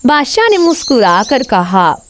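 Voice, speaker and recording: A woman's voice: sits high, sing-song, a little slow, a little hiss or hum. A woman storyteller reading a scripted children's tale as narrator.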